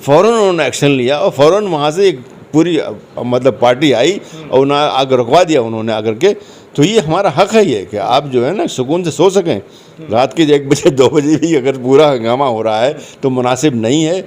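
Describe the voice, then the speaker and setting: Man, mid-pitched voice, conversational interview guest, explanatory, repeats phrases for emphasis. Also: animated, moderate pace, a little mellow.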